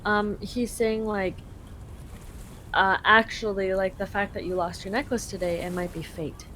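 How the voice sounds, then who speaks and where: A woman's voice: mid-range voice, little pitch movement, measured pace, fairly bright tone, slight background noise. A young woman from Canada translating a game live for a friend, chatty and full of fillers.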